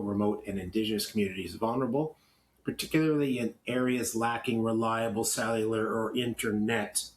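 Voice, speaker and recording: A Canadian man co-hosting a podcast, talking casually and with opinion, in a halting, rambling way with self-corrections. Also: on the low side, a little hiss or hum. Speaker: podcast co-host. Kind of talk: opinionated casual podcast talk, partly reading notes.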